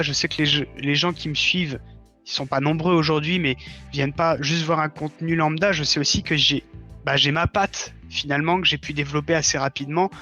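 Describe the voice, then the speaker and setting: A man's voice: fairly high pitch, pushed and bright. A man chatting casually as a guest on a livestream, explaining things earnestly and giving advice. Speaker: interview guest, audio fiction author. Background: background music.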